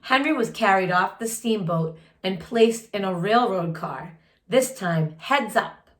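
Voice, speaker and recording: A woman reading a children's story aloud expressively, deliberately pausing to comment and put questions to young listeners. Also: medium pitch, lively intonation. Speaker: storytime reader and teacher.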